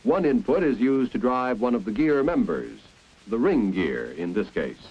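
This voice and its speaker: An American man reading scripted 1950s instructional film narration in a clear, deliberate, explanatory manner. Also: medium pitch, very animated. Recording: dull, band-limited 1950s film soundtrack.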